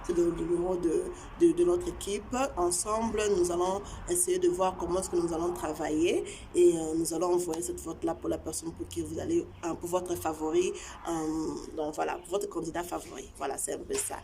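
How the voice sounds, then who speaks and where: Halting woman host. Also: on the low side, fairly even intonation, creaky, dark tone, a little hiss or hum. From Congo (DRC). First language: Tshiluba. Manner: halting, many repeated words and fillers. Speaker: channel host. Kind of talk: casual chat to viewers.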